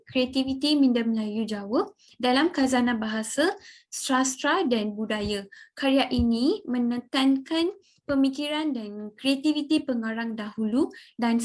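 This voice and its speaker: A young woman with a Malaysian accent giving a student presentation, mostly formal with casual linking words. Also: fairly high pitch. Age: young adult. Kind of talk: semi-scripted group presentation.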